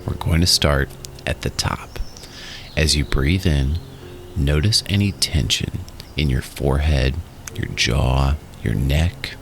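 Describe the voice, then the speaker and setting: A man narrating a guided relaxation in a soothing, instructional way, in short phrases with pauses. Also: rumbling low, creaky. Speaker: guided meditation host. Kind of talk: guided meditation and hypnosis narration. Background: music.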